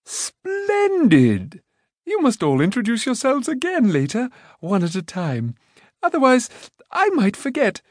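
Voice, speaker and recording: A man's voice: very high-pitched, huge pitch swings, unhurried, no echo, clean studio sound, pitched above the performer's own voice, heavily acted. A man voicing a cheerful, scatterbrained young prince who chatters in a breezy way. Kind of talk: audiobook character voice.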